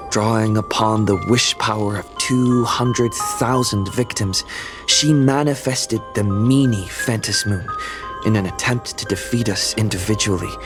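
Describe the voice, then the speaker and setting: A man playing a young hero who soberly recounts his adventure in a measured, scripted game-story narration. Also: fairly low pitch, lightly acted.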